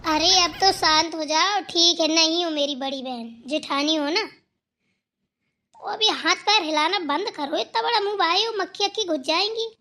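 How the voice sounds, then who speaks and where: A woman's voice: extremely high pitch, normal speed, sharp tone. A woman voicing an outspoken, hardworking younger daughter-in-law in a Hindi family cartoon, who talks back, teases and moralizes. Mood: retorting. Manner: talking back, teasing, moralizing.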